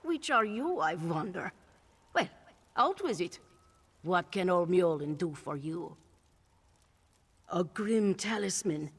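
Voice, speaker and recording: Low, echoing old crone witch. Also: lively intonation, breathy edge, heavily acted. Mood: wry, testing. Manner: measured, archaic phrasing, wry. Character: old crone witch, cryptic, wry, knowing. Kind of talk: scripted video game dialogue. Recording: long reverberant tail on the voice.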